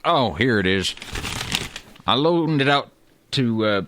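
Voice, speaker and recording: A man's voice: mid-range voice, animated, slow, background noise. An actor playing a pompous, fussy Old West tool collector in a comedy radio play, boastful and over-precise in his wording. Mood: smug, self-important.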